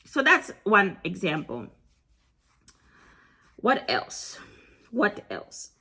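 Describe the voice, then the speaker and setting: A woman's voice: mid-range voice, huge pitch swings, very slow, bright, forceful tone, husky. A woman with a Ghanaian accent talks casually to camera, giving blunt travel advice and repeating points for emphasis.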